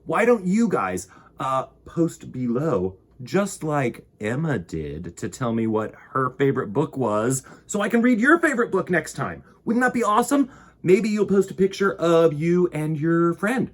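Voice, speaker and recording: A man's voice: a little high, very animated, a little slow. A man hosting a children's storytime, reading aloud and chatting expressively, with direct questions to young listeners. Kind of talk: picture-book read-aloud and chat to children.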